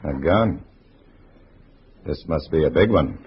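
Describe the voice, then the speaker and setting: Hard-boiled American male spy, very low register, clipped storytelling, dull vintage radio sound. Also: expressive, takes it slowly, a little hiss or hum, exaggerated voice. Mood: wry. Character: tense undercover agent posing as a communist comrade. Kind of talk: 1950s radio drama acting and first-person narration. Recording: dull old radio recording with music.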